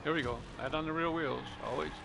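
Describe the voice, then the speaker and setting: A man giving casual, relaxed commentary while playing a racing game, talking half to himself. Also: a little high, fast, creaky, background noise. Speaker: gaming streamer.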